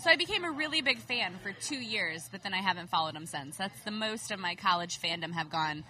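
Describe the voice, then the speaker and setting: Animated female guest with a bright tone, chatty and laughing through her stories. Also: medium pitch, fairly quick. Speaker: podcast guest. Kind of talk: casual chat.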